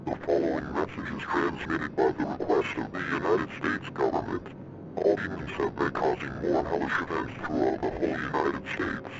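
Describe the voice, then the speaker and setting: An extremely deep-voiced man delivers a solemn, scripted emergency announcement. His formal reading is gravelly, and the recording is dull and lo-fi with music behind it. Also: moderate pace, long pauses. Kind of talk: scripted doomsday emergency broadcast. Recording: dull lo-fi broadcast sound.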